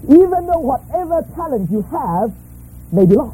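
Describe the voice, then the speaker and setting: A middle-aged man preaches emphatically, in short bursts that leave room for an interpreter. His voice sits high for a man yet sounds dark and mellow, and it swoops widely in pitch. A faint noise hangs behind him. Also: very animated, moderate pace, rough recording. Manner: emphatic, humorous, in short phrases left for translation. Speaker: guest preacher. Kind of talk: sermon delivered through an interpreter.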